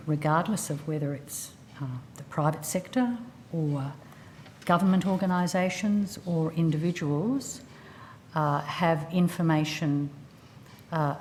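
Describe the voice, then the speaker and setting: A woman's voice: sits low, a little mellow, slight background noise. A woman, a government official, gives formal testimony in a hesitant, careful, bureaucratic manner. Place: large indoor hearing room.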